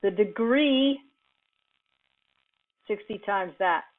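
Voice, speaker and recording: The sound is narrow and muffled, like a phone line. A woman instructor with a medium-pitched voice explains things step by step in an informal lecture. She talks very slowly and deliberately, often halting partway through a sentence. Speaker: computing instructor.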